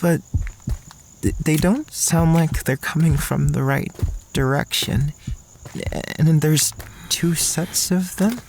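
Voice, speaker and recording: A man's voice: on the high side, slow pace, a little mellow, a little hiss or hum, poor audio. An actor playing an awkward, anxious young college man narrating an audio drama in first person, with music behind him. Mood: anxious, watchful. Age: young adult. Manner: hesitant, stammering in dialogue. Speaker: narrator and main character.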